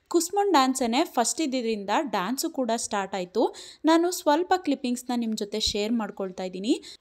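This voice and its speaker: Woman narrating a vlog, higher voice. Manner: steady, explanatory, mixes English words into Kannada. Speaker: vlogger. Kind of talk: calm voice-over narration of a family vlog.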